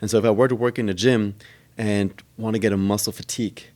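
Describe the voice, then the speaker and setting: Deep-voiced man. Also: fairly even intonation, quick pace, a little mellow. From Germany. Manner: explanatory, many ums and uhs. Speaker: gym owner and trainer. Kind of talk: podcast interview answers.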